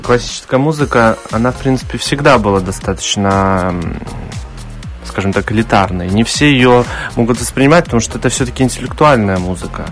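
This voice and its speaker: A man with a baritone voice answering interview questions in a thoughtful, explanatory, conversational way. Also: expressive, brisk, soft background music. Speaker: interview guest, classical singer.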